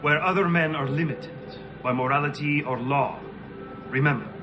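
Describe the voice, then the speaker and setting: Man playing a formal assassin, loud score. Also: on the high side, natural voice. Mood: solemn. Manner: measured, formal, solemn. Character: composed, formal Italian male assassin, cryptic strategist. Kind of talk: dramatic video game voice acting. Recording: loud music behind the voice.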